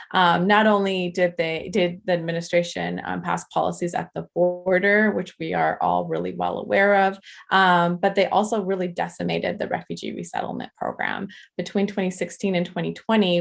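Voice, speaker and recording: Young woman advocate, low gravelly voice, earnest and unscripted, lots of ums. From Afghanistan. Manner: many filler ums and restarts, earnest. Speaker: nonprofit advocate speaking to a political club. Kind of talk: informal presentation at a meeting.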